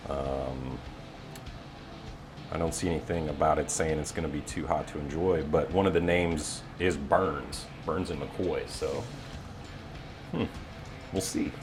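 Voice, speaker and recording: Very deep-voiced American man, wryly self-deprecating. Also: steady tone, measured pace, pauses often, music in the background. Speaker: interview guest, office voiceover talent. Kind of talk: casual interview chat.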